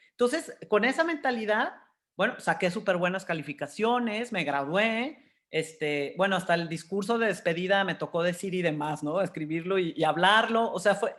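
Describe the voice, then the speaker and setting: A woman in her fifties with a Mexican accent, telling personal stories candidly and expressively as an interview guest. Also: mid-range voice. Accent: Mexican Spanish. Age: 50s. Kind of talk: candid personal storytelling in conversation.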